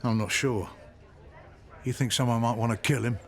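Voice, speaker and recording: Man acting jealous husband, middle aged, creaky voice, terse n brooding, radio soap. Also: medium pitch, fairly even intonation, moderate pace, lightly acted. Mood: suspicious.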